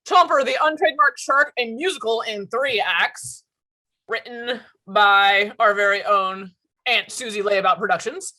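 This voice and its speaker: Female announcer, somewhat high and sharp, scripted stage-direction reading on a video call. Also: measured pace, rough recording.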